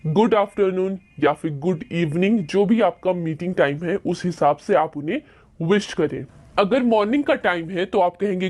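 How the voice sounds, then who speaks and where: Lady teacher, patient, says every english phrase twice real slow. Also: on the low side, a little mellow. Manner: patient, repeats each phrase slowly. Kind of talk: explaining English phrases in Hindi.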